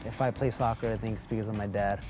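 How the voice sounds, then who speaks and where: A man's voice: lowish voice, steady tone, fast talker. A young man from Mexico, a college soccer player, giving hesitant, filler-heavy interview answers. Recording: music bed under interview.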